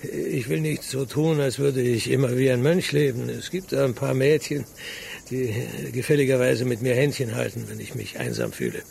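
An actor in a German radio drama playing an earnest, proud city guard colonel of humble birth, gruff and plain-spoken. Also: male voice, mid-range voice, animated, normal speed, darkish tone, a little hiss or hum. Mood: defensive.

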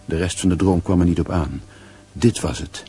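A male actor playing a grave, brooding storyteller, reading literary narration in a radio drama in a measured, solemn manner. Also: deep voice, mellow, soft background music, close to an everyday voice.

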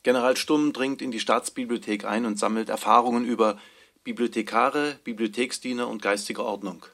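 Medium-pitched male chapter announcer. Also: steady tone, brisk, fairly bright tone. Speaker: narrator reading chapter headings. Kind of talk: scripted announcement in a radio play.

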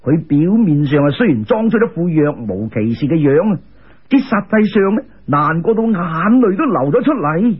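A man's voice: fairly high pitch, sing-song, normal speed, slightly rough. A man with a Cantonese accent narrating a folk tale dramatically, in an emphatic, colloquial storyteller's manner. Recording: muffled lo-fi radio-style recording.

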